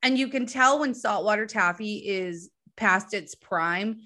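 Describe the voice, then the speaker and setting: Chatty bright-voiced woman host. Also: mid-range voice, unhurried. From Southern New Hampshire. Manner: chatty, laughs often, reads listener questions. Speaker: podcast host. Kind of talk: casual chat.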